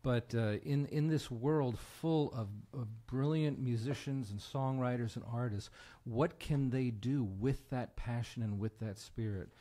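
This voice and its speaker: An American man speaking as an interview guest, earnest and conversational with a halting delivery. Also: medium pitch, mellow. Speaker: guest musician and broadcaster.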